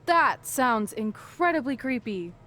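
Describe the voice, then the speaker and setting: A woman's voice: on the high side, lively intonation, measured pace, a bit bright. An actress playing a confident, teasing female wrestling champion in sarcastic video game banter.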